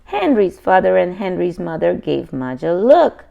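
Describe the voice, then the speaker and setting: A woman's voice: lowish voice, sing-song, a little slow, creaky, lo-fi sound. A woman reading a children's picture book aloud expressively, adding simple asides for young listeners.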